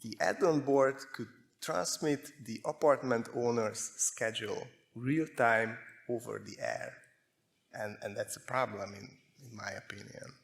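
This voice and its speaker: A man with a Hungarian accent giving a prepared technical presentation, speaking in measured short phrases with pauses. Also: medium pitch, gravelly. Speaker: conference speaker, security researcher.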